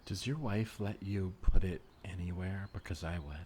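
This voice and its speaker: Guy doing a shy but forward young woman, lowish hesitant voice, improv comedy, bit of background noise. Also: steady tone, normal speed, a little mellow, close to an everyday voice. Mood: earnestly forward. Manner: hesitant, earnest.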